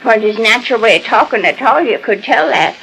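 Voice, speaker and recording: A woman's voice: fairly high pitch, expressive, brisk, gravelly, fairly bright tone, a little hiss or hum, rough recording. A woman playing a tough but easily flustered old Western lady with a rustic American way of talking, in 1940s radio acting style. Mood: matter-of-fact. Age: older.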